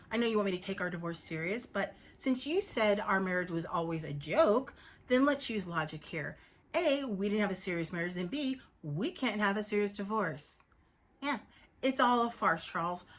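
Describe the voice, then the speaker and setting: An actress performs a wronged, lovesick wife in a comic-dramatic monologue. Her medium-pitched voice swings between dry sarcasm and stubborn pleading. She speaks emphatically, with halting, theatrical pauses. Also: a bit bright, natural voice. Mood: wry, bitter. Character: distraught wife pleading against divorce, wry, stubbornly lovesick.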